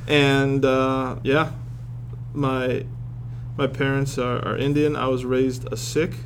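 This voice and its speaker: Halting joking man in a classroom. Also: mid-range voice, level tone, slight background noise. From Boston area, United States. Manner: joking, self-deprecating, halting restarts. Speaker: professor being interviewed. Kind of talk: casual interview in a classroom. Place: classroom with students.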